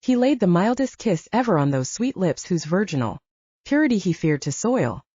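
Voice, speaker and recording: A woman's voice: fairly low pitch, sing-song, moderate pace, dark tone, slightly rough, dry recording, lo-fi sound. A woman reading literary fiction as a scripted audiobook narration, moving between story and dialogue.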